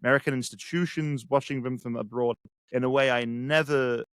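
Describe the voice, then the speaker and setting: Guy with an english accent, thinks out loud, lots of restarts, dead dry audio. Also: mid-range voice, fairly even intonation, clean tone, no room sound. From England. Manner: reflective, halting, many restarts. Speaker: guest. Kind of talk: casual conversation.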